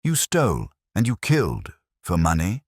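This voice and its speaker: A man's voice: low-pitched, wildly swooping pitch, moderate pace, breathy edge, no echo, clean studio sound, pitched above the performer's own voice, exaggerated voice. A man voicing a trembling, grief-stricken widow in an audiobook, accusing in broken, emotional phrases. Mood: anguished, accusing.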